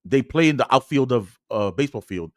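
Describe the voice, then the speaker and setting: A man's voice: medium pitch, animated, brisk, no echo. Slangy New York man on a dry podcast. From New York City. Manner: slangy, jokey, peppered with "bro" and "yo". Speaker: podcast co-host. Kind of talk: casual sports podcast chat.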